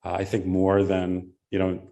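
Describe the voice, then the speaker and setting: A man with a very low, mellow voice reads out a prepared paper on art and architecture. In the answers that follow he speaks more loosely, with fillers and self-corrections. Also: completely flat delivery, vocal fry. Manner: measured, with frequent fillers and restarts in answers. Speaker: symposium presenter. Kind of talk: academic paper presentation and Q&A.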